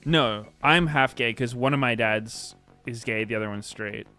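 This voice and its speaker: A man's voice: mid-range voice, moderate pace, sharp tone, faint music behind. A man co-streaming a match, trading dry jokes in casual banter. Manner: dry, joking one-liners.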